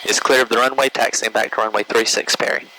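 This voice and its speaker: Over clear engine noise, a man with a medium-pitched voice speaks through an aircraft headset intercom. He is a student pilot with a casual, practical way of talking in the cockpit. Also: fairly even intonation, quick pace. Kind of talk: casual cockpit talk and radio calls.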